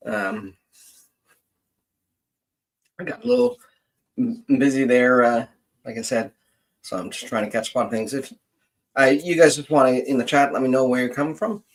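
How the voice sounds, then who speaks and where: A middle-aged man hosting a casual livestream as a genealogist, rambling and thinking aloud in a halting way. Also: medium pitch, expressive, rough recording.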